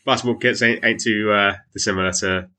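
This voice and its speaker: Male co-host, friendly chat, very deep voice, sharp bright tone. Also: steady tone, brisk. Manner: friendly, says "mate", asks tag questions. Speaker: podcast co-host. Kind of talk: casual podcast chat.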